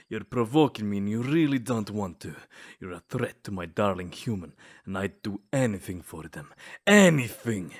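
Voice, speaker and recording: Man acting as a snide, possessive vampire suitor. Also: medium pitch. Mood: jealous, fervent.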